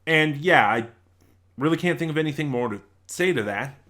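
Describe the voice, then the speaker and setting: A man's voice: on the high side, expressive, moderate pace, sharp tone. Casual, rambling male reviewer. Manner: rambling, conversational, self-correcting. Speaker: reviewer and channel host. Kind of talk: casual unscripted audio drama review.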